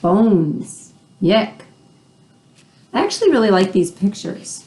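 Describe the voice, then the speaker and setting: A woman's voice: mid-range voice, big pitch swings, takes it slowly, dark tone. A woman reading a children's picture book aloud, adding chatty asides and simple explanations for young listeners. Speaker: read-aloud host.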